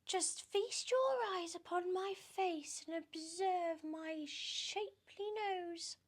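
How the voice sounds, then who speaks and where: Big vain old aunt from a storybook, super high n gushy, theatrical, draws words out. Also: female voice. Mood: self-adoring. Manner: theatrical, self-adoring, drawn-out declaiming. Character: vain, gushing, enormously fat old aunt.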